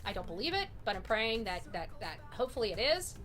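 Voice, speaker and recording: A woman's voice: medium pitch, very animated, fast talker, fairly bright tone, soft background music. A woman hosting a livestream, giving opinionated, casual true-crime commentary in a blunt, emphatic, rambling and chatty way. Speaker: livestream host.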